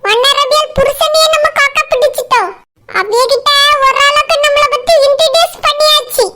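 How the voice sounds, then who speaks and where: A woman's voice: extremely high pitch, moderate pace, background noise. A woman voicing a cocky, self-important young girl in a comedy cartoon, talking boastfully in Kanyakumari Tamil. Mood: smug, confident.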